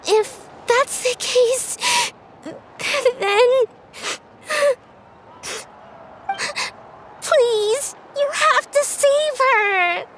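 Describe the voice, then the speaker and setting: A woman's voice: extremely high pitch, drawn-out words, a bit bright, slight background noise, heavily acted. A woman voicing an anxious young girl in video game dialogue, who stammers and pleads for help. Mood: pleading. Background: game soundtrack music.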